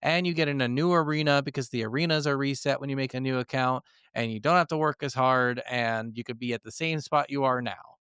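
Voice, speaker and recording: A man's voice: medium pitch, a little fast, a bit bright, clean tone, no room sound, clean studio sound. A man co-hosting a casual gaming podcast, talking informally and swearing freely. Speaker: podcast co-host.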